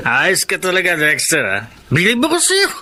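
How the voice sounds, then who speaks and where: Sharp, high-voiced Filipino drinking buddy. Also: male voice, wildly swooping pitch, a little slow, a little hiss or hum, full character voice. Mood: jovial, teasing. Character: flattering, nosy drinking buddy, boisterous. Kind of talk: Tagalog radio drama acting.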